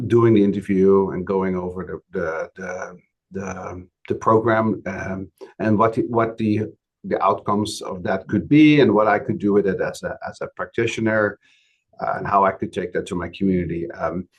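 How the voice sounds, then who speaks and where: A man with a cold that leaves his voice raspy, speaking hesitantly with many fillers and restarts on a webinar about his community's experience. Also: on the low side. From Canada. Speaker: municipal economic development practitioner.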